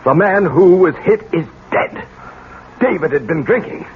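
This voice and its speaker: An actor playing a domineering, contemptuous husband in a melodramatic 1970s radio play, browbeating and menacing. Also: male voice, high voice, animated, measured pace, narrow, tinny audio. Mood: angry threats. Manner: forceful, browbeating. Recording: noisy, band-limited old radio recording.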